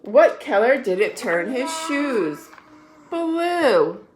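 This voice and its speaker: A woman reading a children's picture book aloud in an expressive, deliberate storytelling manner. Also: fairly high pitch, echoey room, a little hiss or hum. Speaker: story-time reader, adult with a young child.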